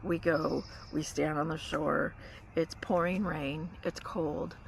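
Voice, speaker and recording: A woman's voice: sits low, steady tone, takes it slowly, vocal fry, low-quality recording. A woman vlogger telling a story in a casual, chatty way, full of "like" and "you know". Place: forest campsite by a car. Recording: outdoor noise with vehicle hum.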